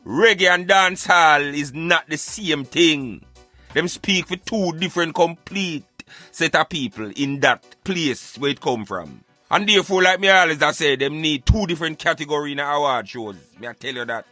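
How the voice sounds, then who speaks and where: A man's voice: a little high, animated, moderate pace, sharp tone, no room sound, faint music behind. A man with a Jamaican accent hosting a talk show, giving emphatic, preaching commentary in Patois. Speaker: radio show host.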